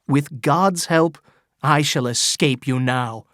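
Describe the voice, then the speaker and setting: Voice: medium pitch, slow pace, fairly bright tone, no echo, clean studio sound. A storyteller voicing a dying young male minister who speaks in a solemn, declaiming way. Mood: resolute.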